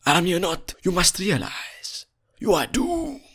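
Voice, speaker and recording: A man voicing a menacing cyborg villain general in a theatrical, drawn-out film impression. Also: high voice, very animated, fairly bright tone, slightly rough, pitched above the performer's own voice, exaggerated voice. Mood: cold menace.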